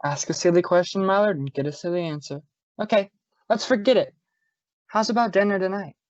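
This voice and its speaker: A woman's voice: mid-range voice, a little fast, dry recording, natural voice. A young woman playing an easygoing, teasing male homicide inspector with a casual manner, in old-time radio style. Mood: guarded, teasing. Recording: dull lo-fi audio, very dry.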